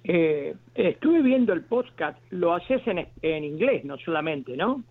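Un hombre que llama a un programa de radio. Habla entrecortado, se corrige a menudo y dice lo que piensa con convicción. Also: male voice, on the high side, sing-song. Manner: halting, many restarts, earnest. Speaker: caller. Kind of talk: phone-in call. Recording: tinny phone line.

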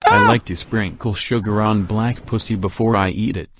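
Low-pitched synthetic male computer voice. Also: steady tone, normal speed, a bit bright, slight background noise. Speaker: text-to-speech reader of viewer donation messages. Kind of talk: flat scripted read-out of messages.

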